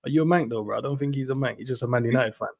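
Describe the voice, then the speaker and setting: A man's voice: mid-range voice, gravelly. A man hosting a casual football podcast, reading out chat comments in a laid-back, bantering way. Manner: quick, laid-back banter, calls people bro.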